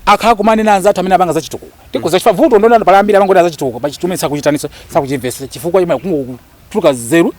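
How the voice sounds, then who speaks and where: A man's voice: sits high, rapid-fire, a little mellow. A Malawian man speaking emphatically and argumentatively as an interview guest, repeating phrases for stress and mixing two languages. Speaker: interview guest, actor and lecturer. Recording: faint background noise.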